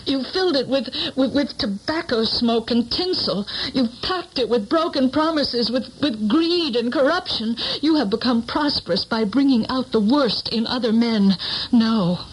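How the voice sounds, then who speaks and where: A woman's voice: on the high side, animated, unhurried, breathy edge. An actress playing a mysterious woman, solemn, insistent and accusing, in a 1970s radio drama style. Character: mysterious woman, grave accusing messenger of doom. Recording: noisy 1970s radio broadcast recording.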